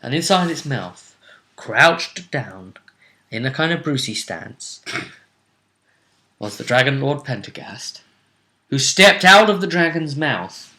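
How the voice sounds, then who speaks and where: A man hosting a tabletop role-play show, casually recapping the action in a jokey, theatrical way with drawn-out emphasis. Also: medium pitch, sing-song, bright, forceful tone. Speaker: game master and host.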